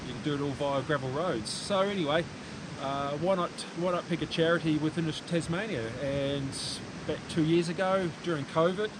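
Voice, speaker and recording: A man from New Zealand with a New Zealand accent, chatting outdoors as a guest in a relaxed, unhurried way with hesitant fillers. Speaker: guest, route mapper. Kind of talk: casual chat. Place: outdoors by the roadside. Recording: outdoor noise with vehicle rumble.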